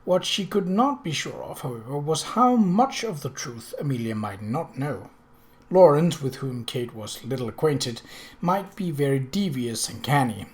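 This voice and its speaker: Narrator for period fiction: middle register, scripted, expressive storytelling. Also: medium pitch, moderate pace. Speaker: audiobook narrator.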